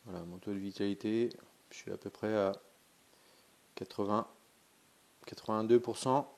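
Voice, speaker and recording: A man's voice: on the low side, fairly even intonation, normal speed, vocal fry, darkish tone. A man with a cold, casually demonstrating something in a vlog and talking in a hesitant, chatty way with many fillers and restarts. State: has a cold. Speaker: pendulum dowsing vlogger.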